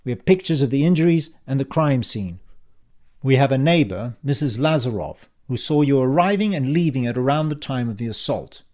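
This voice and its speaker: A man voicing a cheeky male schemer in an audiobook, calm, confident and mockingly polite as he taunts. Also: medium pitch, fairly quick, natural voice. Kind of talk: audiobook character dialogue.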